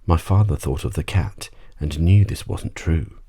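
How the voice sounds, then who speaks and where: A man narrating a bedtime story audiobook in an ASMR style, husky and gently measured. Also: very deep voice.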